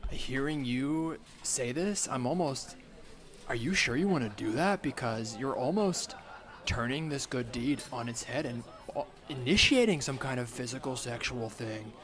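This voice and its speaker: A man's voice: medium pitch, lively intonation, moderate pace, a bit bright, close to an everyday voice. Man acting as young woman, earnest loyal friend, scolding, mock-serious, improv comedy. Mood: earnest reproach.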